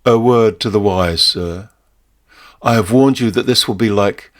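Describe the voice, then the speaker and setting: A man voicing an ominous, courteous barman in a short story, speaking slowly and formally with deliberate, portentous solemnity. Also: lowish voice, lightly acted. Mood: cautionary.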